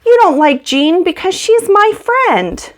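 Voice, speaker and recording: A young schoolgirl from a children's storybook, acted out by a woman reading aloud. Her voice is pitched high, and she comes across as touchy and loyal to her friends. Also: sing-song, slow pace, exaggerated voice. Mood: indignant.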